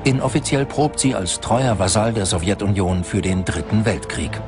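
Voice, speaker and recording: A man's voice: fairly low pitch, moderate pace, music in the background. A man narrating a documentary from a script.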